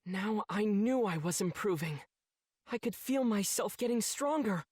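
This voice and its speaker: A man's voice: sits high, animated, unhurried, darkish tone, slightly rough, no echo, studio quality, full character voice. Earnest teenage boy swordsman. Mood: earnest, reflective. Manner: sincere, earnest. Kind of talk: anime game voice acting, reflective narration.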